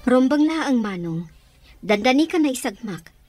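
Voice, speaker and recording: A woman's voice: sits high, big pitch swings, a little slow, a little mellow, no room sound, exaggerated voice. A woman acting a concerned younger sister in a radio drama, earnest and urging.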